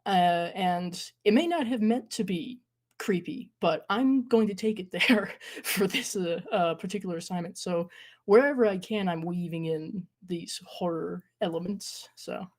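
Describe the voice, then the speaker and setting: Young woman, interview guest, halting, lots of fillers, laughs at herself, lowish voice. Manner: hesitant, many fillers and restarts, self-deprecating laughs. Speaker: guest, horror creator and acting student. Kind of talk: casual interview chat.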